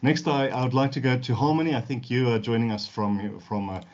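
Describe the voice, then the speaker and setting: A man's voice: medium pitch, steady tone, a little fast, a little mellow, highs cut off. A man moderating a panel discussion, introducing each speaker by name and welcoming them.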